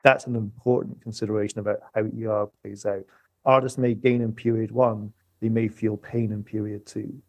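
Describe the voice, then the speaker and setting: Man, creaky low voice, dry close sound, economist guest, measured conversational explaining. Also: little pitch movement, no echo.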